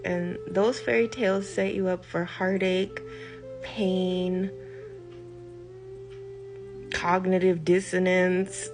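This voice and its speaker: An older woman, a healer, speaking in a slow, deliberate monologue, stressing her points by repeating them and letting phrases trail off. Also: lowish voice, flat delivery. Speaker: healer giving a talk. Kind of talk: reflective spoken monologue. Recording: music behind the voice.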